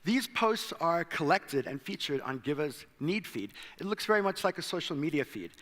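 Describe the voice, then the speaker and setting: A man's voice: fairly high pitch, big pitch swings, normal speed, fairly bright tone, big-room sound. A South African-born man with an American accent giving a conference presentation, mixing light self-deprecating humor into the talk. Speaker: conference speaker. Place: large hall.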